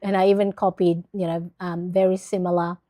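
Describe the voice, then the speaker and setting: A woman's voice: lowish voice, level tone, fairly quick, dark tone. A middle-aged woman from the Philippines chatting casually on a livestream, rambling with lots of fillers and restarts. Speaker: planner livestream host.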